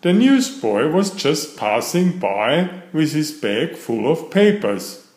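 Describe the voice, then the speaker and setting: A man reading a children's story aloud in a deliberate, expressive storytelling manner, with a roomy sound. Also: a little high. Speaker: storybook narrator. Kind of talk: children's read-aloud narration.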